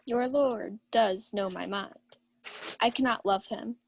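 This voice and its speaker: A woman's voice: medium pitch, slow pace, gravelly, a little hiss or hum. A young woman playing a proud, commanding countess in a theatrical radio Shakespeare play. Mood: haughty. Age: young adult. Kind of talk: 1940s radio Shakespeare acting.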